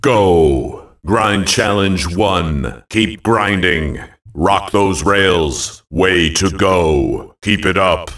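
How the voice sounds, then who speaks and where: An actor playing a commanding fantasy video game announcer, delivering short, emphatic announcements in a deliberate way. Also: male voice, low voice, a bit bright, full character voice. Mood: commanding, announcing. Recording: echoey, distant-sounding reverberant voice.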